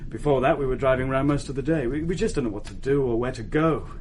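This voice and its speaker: A man's voice: medium pitch, quick pace, darkish tone, a little hiss or hum, lightly acted. An actor playing a polite, anxious British husband in a radio play, earnest and hesitant in his pleading. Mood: desperate, pleading. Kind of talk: radio drama acting.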